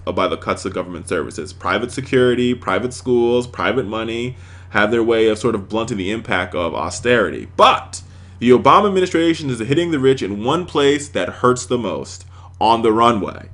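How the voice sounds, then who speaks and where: Animated American man, political show host. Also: medium pitch, brisk. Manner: sarcastic, emphatic, rhetorical. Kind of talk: opinionated news commentary to audience.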